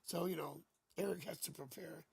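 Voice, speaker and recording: A man with a raspy voice hosting a casual live tarot stream, chatting playfully and joking through a new studio microphone. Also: fairly high pitch, normal speed, a little mellow, dry recording.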